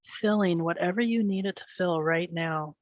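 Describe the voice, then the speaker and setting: A woman leading a guided meditation, speaking gently and soothingly in short phrases with pauses between them. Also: lowish voice, no echo, lo-fi sound.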